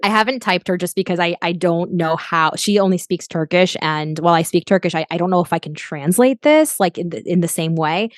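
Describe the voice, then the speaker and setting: Casting note: young adult woman coach, reflective and earnest, slightly low voice, dry close sound. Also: brisk, few pauses, no echo. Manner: earnest, reflective, frequent restarts. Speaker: guest life coach. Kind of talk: podcast interview.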